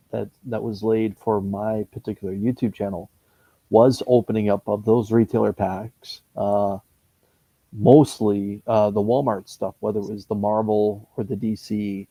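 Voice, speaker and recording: A Canadian man in his fifties, a comic book YouTuber talking casually in an interview, pausing often with fillers and restarts. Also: on the low side, creaky, mellow, no room sound, poor audio. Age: 50s. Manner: hesitant, many fillers and restarts.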